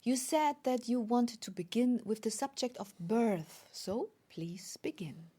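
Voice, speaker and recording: A woman with a German accent, a native German speaker, hosting a spiritual podcast in a formal, breathy voice. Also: fairly high pitch, unhurried, dark tone. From Germany or German-speaking Europe. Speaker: podcast host.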